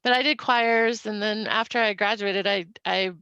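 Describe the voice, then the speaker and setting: A woman's voice: mid-range voice, fairly even intonation, moderate pace, bright, forceful tone, clean tone, no room sound, highs cut off. A middle-aged woman from Utah chatting casually on a podcast, laughing often. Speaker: podcast guest. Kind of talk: casual chat.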